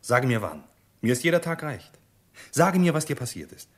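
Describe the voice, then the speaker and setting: An actor playing a devoted young fiancé in a German radio play, husky, anxious and earnest. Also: male voice, mid-range voice, very animated, normal speed. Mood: earnest, eager.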